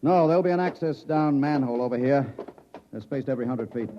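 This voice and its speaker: An American actor playing a commanding middle-aged chief engineer in a 1950s radio drama, clipped, authoritative and no-nonsense. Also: male voice, mid-range voice, fast, noisy background, natural voice. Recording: dull vintage radio recording.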